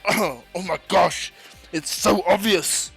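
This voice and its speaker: A man voicing a hearty superhero teacher in an anime story, with grand, excited, drawn-out announcing over music. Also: sits high, creaky, pitched above the performer's own voice, exaggerated voice.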